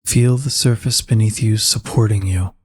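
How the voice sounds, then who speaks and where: A man leading a slow guided meditation in a calm, soothing and unhurried manner, with a soft husky voice. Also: fairly low pitch.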